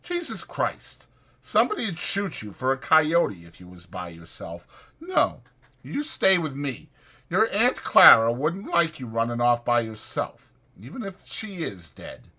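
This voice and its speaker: A man's voice: mid-range voice, wildly swooping pitch, measured pace, bright, forceful tone, voice pushed up, full character voice. A man voicing a short-tempered, exasperated migrant ranch worker, scolding in slangy rural American working talk. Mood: gruffly relenting.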